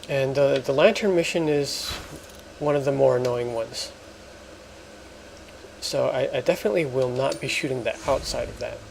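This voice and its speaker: Man, let's play host, vocal fry, casual halting commentary, faint background noise. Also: medium pitch.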